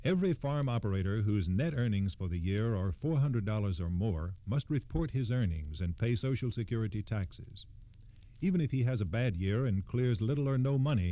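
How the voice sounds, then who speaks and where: Guy explaining stuff formally on old sixties radio, low soft-edged voice, no room sound. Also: dry recording. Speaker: radio storyteller. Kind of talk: scripted 1960s radio narration.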